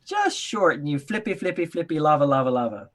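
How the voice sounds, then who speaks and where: A man's voice: fairly high pitch, animated, fairly quick, noisy background. A man running a tabletop game as dungeon master, narrating with playful laughs.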